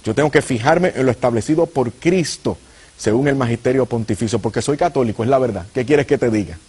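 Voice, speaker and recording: Husky Puerto Rican preacher man. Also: mid-range voice, brisk, darkish tone. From Puerto Rico. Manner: earnest, addresses viewers directly. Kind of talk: apologetics teaching.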